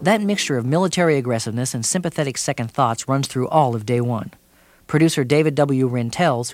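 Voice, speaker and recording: A man delivering a scripted broadcast review of a TV movie in a measured, dryly wry way. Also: medium pitch, dry recording. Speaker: TV critic.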